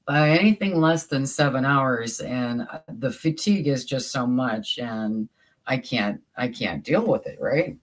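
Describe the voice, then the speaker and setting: A livestream host with a soothing voice, chatting casually with viewers while crafting, halting and restarting often. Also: mid-range voice. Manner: slow and halting, with many repeated starts.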